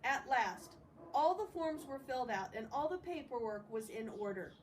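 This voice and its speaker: A woman's voice: sits high, expressive, normal speed. A woman reading a story aloud expressively, in the manner of a read-along with informal commentary. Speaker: storybook reader. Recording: distant mic with faint background noise.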